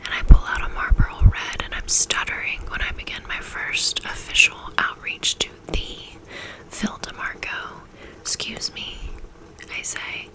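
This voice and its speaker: A woman reading a first-person novel aloud in a soft, intimate whisper, in a steady ASMR style. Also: normal speed, low-quality recording. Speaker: audiobook reader.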